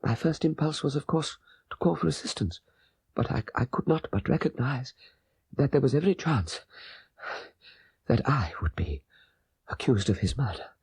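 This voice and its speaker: Man acting a breathy, dying old father. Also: medium pitch, lively intonation, darkish tone, dry recording. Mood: haunted, halting. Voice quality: breathy, fading into whispers. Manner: halting, laboured deathbed speech. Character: dying old father, guilt-ridden, greedy, confessing. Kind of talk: dramatic audiobook character voice.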